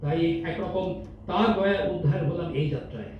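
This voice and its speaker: Man acting stern high-pitched boss, echoey hall. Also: a little fast, close to an everyday voice. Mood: reproachful, condescending. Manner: scolding, pompous, peppers speech with English. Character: stern, condescending male company boss, business-minded and impatient. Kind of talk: amateur stage audio drama acting. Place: multipurpose hall stage. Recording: distant echoey hall, dull, faint noise.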